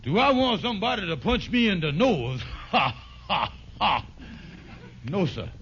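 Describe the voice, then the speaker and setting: A fretful schemer with a high voice, folksy, dramatic and wheedling in old radio sitcom style. The recording is dull, with faint noise. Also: male voice, sing-song, unhurried. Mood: eager, meek. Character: fretful, scheming, fast-talking buddy in trouble, a man. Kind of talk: 1940s radio sitcom acting. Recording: muffled, noisy vintage radio recording.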